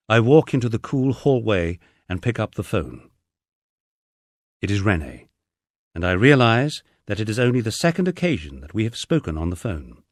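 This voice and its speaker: English guy reading his memoir, lowish voice, lots of up and down, really dry sound. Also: no echo. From England. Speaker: audiobook narrator reading a memoir. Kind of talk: scripted first-person narration.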